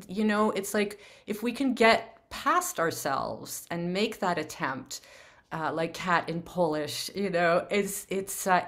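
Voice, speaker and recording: A woman's voice: fairly low pitch, fairly bright tone. A woman from Canada hosting a community video call, talking in an effusive, earnest way. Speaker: meeting host. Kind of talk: casual group video call.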